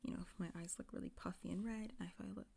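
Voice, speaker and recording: A woman's voice: on the low side, fairly even intonation, racing, vocal fry, husky, heavily acted. A young woman playing a self-pitying influencer, whispering as if on the verge of tears. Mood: whispery, self-pitying. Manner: hushed, whispery delivery throughout. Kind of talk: parody apology video.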